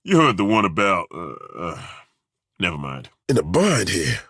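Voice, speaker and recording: An actor playing a gruff, folksy middle-aged male dwarf fighter with a dry, wry, plainspoken way of talking, in video game voice acting. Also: low voice, wildly swooping pitch, moderate pace, fairly bright tone, dry recording, exaggerated voice. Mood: wry grumbling. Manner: dry, wry and plainspoken, with roaring battle cries. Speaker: party companion.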